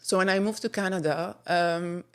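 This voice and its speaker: Lebanese-born woman, low voice, talks slow and thoughtful with lots of ums, podcast guest. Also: fairly even intonation, smooth. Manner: thoughtful, with frequent pauses and fillers. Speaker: guest photographer. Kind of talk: casual interview conversation.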